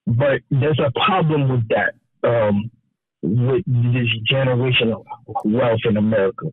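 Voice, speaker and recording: A man in his twenties calling in to a live show, speaking hesitantly with a halting start. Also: medium pitch, dry recording. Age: 20s. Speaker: caller. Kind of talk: call-in question. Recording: tinny phone line, dry.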